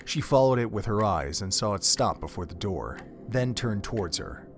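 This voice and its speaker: A man's voice: lowish voice, expressive, normal speed, faint music behind. A man reading scripted narration for an audio drama in a solemn, literary storytelling manner.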